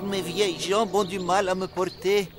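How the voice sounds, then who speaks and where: An actor playing an old beggar woman in a cartoon, peddling lucky charms in a wheedling, flattering way. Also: mid-range voice, moderate pace, loud music behind the voice, lightly acted. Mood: feeble. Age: older. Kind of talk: cartoon voice acting.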